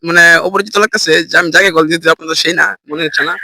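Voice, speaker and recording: A man's voice: fairly high pitch, steady tone, racing, sharp tone, dry recording. A man with a Bangladeshi accent doing casual prank-call banter as host, teasing and often repeating or stumbling over his words.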